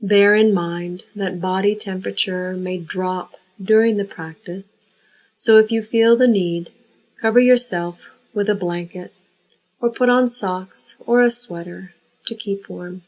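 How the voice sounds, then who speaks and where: A woman leading a guided yoga nidra relaxation, giving unhurried, measured instructions. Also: mid-range voice, fairly even intonation, darkish tone, poor audio.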